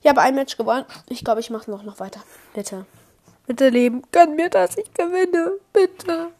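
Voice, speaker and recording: A young woman commenting on her own online match, excitable, swinging from low muttering to high squeals. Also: moderate pace. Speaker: gamer streamer. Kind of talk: live gameplay commentary.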